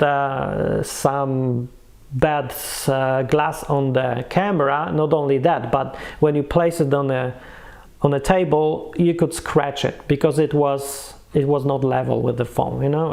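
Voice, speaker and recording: A man's voice: mid-range voice, fairly even intonation, measured pace, creaky, a little mellow. A man who is a non-native English speaker gives a casual, unscripted product review, searching for words and correcting himself as he goes. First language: not English.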